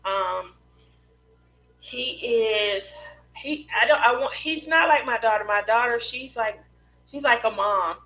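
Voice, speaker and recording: A woman's voice: on the high side, takes it slowly, pauses often, sharp tone, rough recording. A middle-aged woman chatting casually with live viewers as host of a craft stream, telling personal stories in a rambling way.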